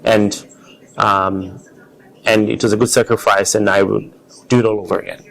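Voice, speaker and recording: Male interview guest, lower-pitched, earnest conversational storyteller. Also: level tone, moderate pace, gravelly, a little hiss or hum, rough recording. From Zimbabwe. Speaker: interview guest, car designer.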